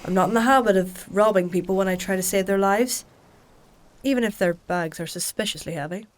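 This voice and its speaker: An actress playing a practical, stubborn travelling healer in a fantasy audio drama, with a dry, matter-of-fact, conversational way of talking. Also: female voice, medium pitch, fairly quick, natural voice. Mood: wry, reassuring. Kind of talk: dark fantasy audio drama dialogue and narration.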